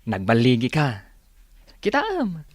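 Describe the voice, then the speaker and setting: A man's voice: mid-range voice, wildly swooping pitch, takes it slowly, no echo, exaggerated voice. An actor with an Ilocano accent playing a chatty, good-natured young working man in a radio drama. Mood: earnest, sympathetic.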